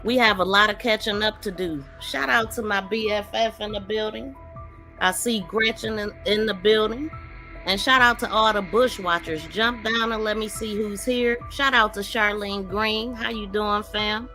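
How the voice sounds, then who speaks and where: A woman with a bright, forward voice hosts a live commentary show. She talks casually and straight to her audience, with faint music underneath. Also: mid-range voice, moderate pace. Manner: informal, conversational, addresses viewers directly. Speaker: livestream podcast host.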